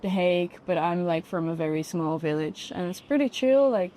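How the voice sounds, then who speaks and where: A woman's voice: on the low side, expressive, normal speed, warm tone, a little hiss or hum, low-quality recording. Casual young Dutch woman in conversation. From Netherlands. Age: 20s. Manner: informal, peppered with "like". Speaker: podcast guest, fashion model. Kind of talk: casual interview chat.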